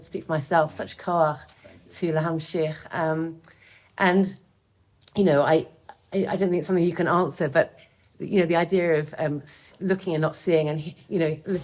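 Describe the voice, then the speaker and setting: A woman from New York in the audience, thanking the speaker and asking a halting question with many restarts, heard from away from the mic. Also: deep voice, a little mellow.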